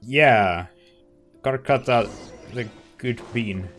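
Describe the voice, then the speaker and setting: Guy playing a game, chatting casually and slowly, halting reactions, music in back. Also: mid-range voice, fairly bright tone. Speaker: let's play streamer.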